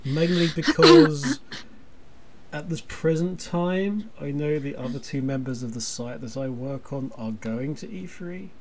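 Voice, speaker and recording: A man's voice: on the high side, expressive, a little slow, slight background noise. A man hosting a podcast in casual chat, with a wry, self-deprecating, grumbling manner. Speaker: podcast host.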